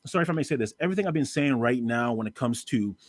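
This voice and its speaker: A man's voice: mid-range voice, animated, dry recording. Man from Canada, debate guest, insistent with lots of restarts, dry mic. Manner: rapid, repetitive restarts when pressing a point. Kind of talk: live debate.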